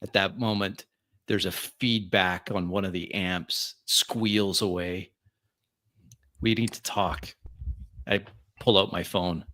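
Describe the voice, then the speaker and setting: A man improvising first-person narration in a tabletop roleplay, in deliberate, halting phrases. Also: deep voice, fairly even intonation, sharp tone. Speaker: roleplay player.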